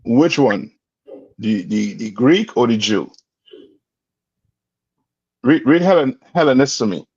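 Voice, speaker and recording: A man's voice: mid-range voice, animated, slow pace, long pauses, darkish tone, breathy edge, dry recording. A man leading a religious class, quizzing his students and prodding them for answers. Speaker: class instructor. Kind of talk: call-and-response religious class. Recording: dull, dry lo-fi recording.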